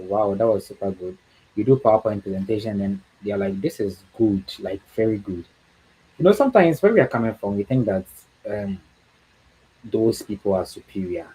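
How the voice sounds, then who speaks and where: A man's voice: fairly low pitch, dark tone, husky, poor audio. A man from Ghana with a Ghanaian accent, speaking as a guest on a livestream talk, earnest and encouraging, often repeating words for emphasis.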